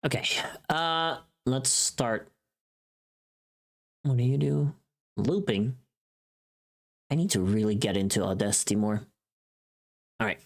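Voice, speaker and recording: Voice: mid-range voice, slow pace, long pauses. A livestreamer chatting casually while working, rambling and muttering to themself. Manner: rambling, muttering to self, humming. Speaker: livestreamer, voice actor.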